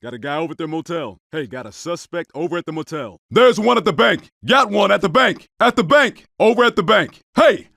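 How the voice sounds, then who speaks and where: Game undercover cop: alert and clipped, higher-pitched man's voice, terse combat callouts, very dry recording. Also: expressive, fairly quick, vocal fry, hardly any pauses, pushed and bright, no echo, full character voice. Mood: low, guarded. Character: gruff, alert male undercover cop in gunfight. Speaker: video game player character. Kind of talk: short tactical callouts.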